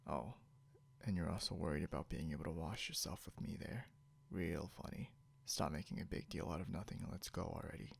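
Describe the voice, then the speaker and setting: A man playing a possessive, snarky, non-human captor in an ASMR roleplay, speaking softly and deliberately, often close to a whisper, with smug condescension. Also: low voice, a little hiss or hum, exaggerated voice. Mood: exasperated. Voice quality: soft, breathy, often near whisper. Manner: smug, teasing, slow and deliberate.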